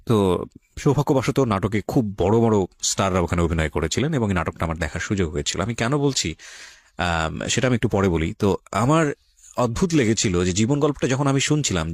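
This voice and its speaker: A male radio host with a low voice, recorded dry and close. He speaks reflectively to his guest and listeners, in a measured way with frequent pauses. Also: dry recording. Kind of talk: reflective interviewing, addressing listeners.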